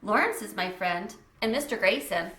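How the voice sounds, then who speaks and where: A woman's voice: medium pitch, lively intonation, moderate pace, sharp tone, echoey room, recorded from a distance, slight background noise. A woman reading aloud as an earnest, literal-minded young boy in a storybook, speaking in a matter-of-fact, precise way. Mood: earnest, blunt.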